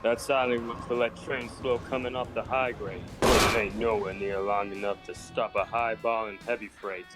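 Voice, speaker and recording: A man's voice: fairly low pitch, fairly even intonation, normal speed, few pauses, close to an everyday voice. Bold frontier railroad worker played by a man, plainspoken dialect, dramatic dub delivery over prominent music. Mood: urgent, determined. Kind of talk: dramatic comic dub acting. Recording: loud music behind the voice.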